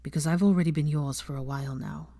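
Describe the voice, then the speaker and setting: A woman voicing an anguished, angry young man in a dramatic audiobook, forceful, bitter and pleading. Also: sits low, fast, no room sound. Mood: weary. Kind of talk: dramatic audiobook character acting.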